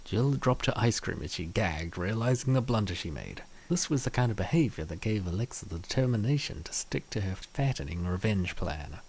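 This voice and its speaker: Low-pitched, lively male story narrator. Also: a little fast, few pauses, slightly rough. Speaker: narrator of a comic dub.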